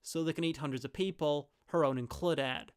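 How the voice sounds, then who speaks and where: A man's voice: fairly high pitch, normal speed, clear, resonant tone. A man narrating a scripted review in a wry manner.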